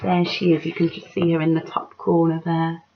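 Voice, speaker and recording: A woman reading a children's picture book aloud like a teacher, dramatic and measured, putting questions to her young listeners. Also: sits low, completely flat delivery, slight background noise. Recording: dull, lo-fi sound.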